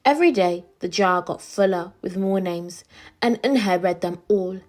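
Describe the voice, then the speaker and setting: A woman reading a children's storybook aloud as a storyteller, with expressive, clearly phrased narration. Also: mid-range voice, normal speed, faint music behind.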